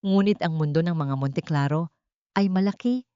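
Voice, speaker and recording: A woman's voice: deep voice, normal speed, dark tone, smooth, dry recording, dull audio. A woman reading a dramatic story as a scripted audiobook narration.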